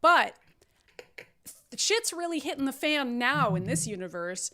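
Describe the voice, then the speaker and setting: A woman's voice: high-pitched, sing-song, unhurried, bright, forceful tone, slight background noise. A woman hosting a casual comedic livestream, introducing and recapping in a sardonic way, often laughing as she talks. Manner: sardonic, laughs while talking. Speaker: host introducing cast and recapping.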